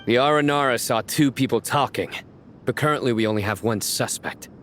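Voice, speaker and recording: A man playing a grave, methodical law enforcer in a video game, formal and deliberate in his speech. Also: mid-range voice, fairly bright tone, a little hiss or hum, natural voice. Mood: grave, analytical. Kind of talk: scripted video game voice acting.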